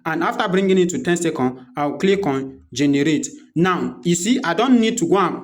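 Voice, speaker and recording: A man with a Nigerian accent presenting an unscripted software walkthrough, explaining step by step and repeating his instructions as he goes. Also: on the high side, lively intonation, normal speed. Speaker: tutorial presenter.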